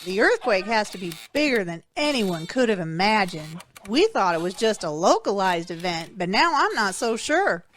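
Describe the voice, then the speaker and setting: A woman's voice: medium pitch, big pitch swings, normal speed, few pauses, a bit bright, a little hiss or hum. A woman playing a kindly, folksy rural woman who shelters survivors in an audio drama, speaking in a soothing, motherly manner. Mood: grave, fearful.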